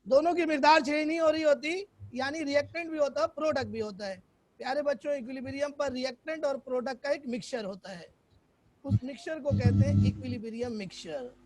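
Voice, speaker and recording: A hoarse man teaching a chemistry class online, quizzing students and repeating English definitions. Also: very high voice, a little fast, a little mellow, a little hiss or hum, low-quality recording.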